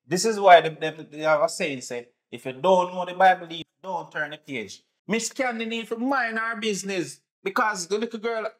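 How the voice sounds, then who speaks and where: A man's voice: high-pitched, normal speed, hardly any pauses, a bit bright. A man playing a brash Jamaican husband. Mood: dismissive. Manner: defensive, boastful. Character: brash, unfaithful husband, defensive.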